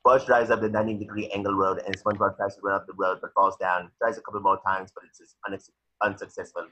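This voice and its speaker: Fast, raspy man reading stage directions. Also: on the low side, little pitch movement, bright, forceful tone, low-quality recording. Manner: matter-of-fact, stumbling over words. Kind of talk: reading a cartoon script aloud.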